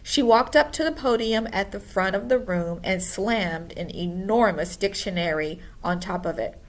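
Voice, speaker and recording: A woman reading a storybook aloud in a deliberate, expressive way. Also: mid-range voice, rough recording. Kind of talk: storybook read-aloud narration.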